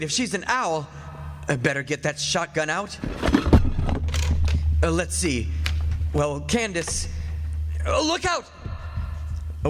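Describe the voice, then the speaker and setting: An actor playing a smug, murderous husband who sweet-talks and then panics, in old-time radio drama style. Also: male voice, on the high side, fairly bright tone, long reverb tail, a little hiss or hum, exaggerated voice. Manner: theatrical, muttering sweet talk to himself. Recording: stage radio play with music behind.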